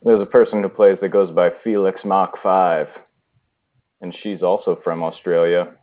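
A man's voice: deep voice, fairly even intonation, normal speed. A man hosting an online improv session, chatty and welcoming to new players. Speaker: host of an online improv game. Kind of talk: casual voice-chat hosting. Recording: tinny voice-chat line.

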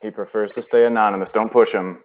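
A man's voice: lowish voice, fairly even intonation, quick pace. A man hosting an online improv session, chatty and welcoming to new players. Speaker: host of an online improv game. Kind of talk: casual voice-chat hosting. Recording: tinny voice-chat line.

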